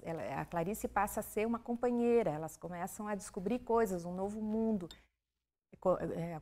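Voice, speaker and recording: A woman who is an author and literature professor, answering interview questions in a calm, measured way. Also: mid-range voice.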